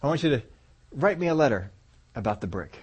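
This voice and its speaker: A man telling a story in a sermon, folksy and chuckling now and then, dropping low and soft on key lines. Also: sing-song, fairly quick, slightly rough.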